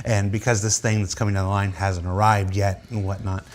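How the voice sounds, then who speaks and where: A man working as an interviewer, asking unscripted questions in a deliberate way and rephrasing as he goes. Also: fairly low pitch, flat delivery.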